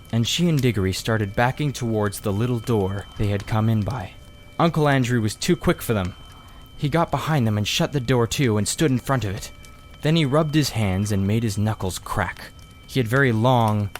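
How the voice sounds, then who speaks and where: A man reading a children's story aloud as expressive scripted narration. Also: medium pitch, slight background noise. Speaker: audiobook reader.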